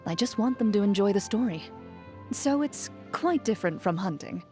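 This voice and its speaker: A woman playing a composed, principled champion duelist in a video game, speaking in a measured, formal, dryly understated manner. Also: medium pitch, animated, a little mellow, lightly acted. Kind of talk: video game character voice lines. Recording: music behind the voice. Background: music.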